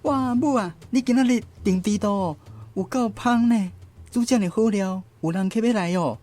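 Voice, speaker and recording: An actor playing a cheeky, playful schoolboy uncle in a radio drama, teasing and exclaiming with a Taiwanese accent. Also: male voice, high voice, moderate pace, mellow, faint music behind, lightly acted.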